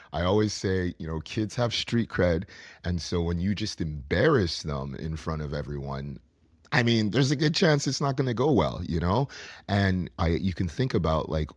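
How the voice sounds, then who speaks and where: A man with a deep voice, a podcast guest who trains camp counselors, talking in an animated, storytelling way with repetition and laughs. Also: no room sound, clean studio sound. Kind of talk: conversational podcast talk.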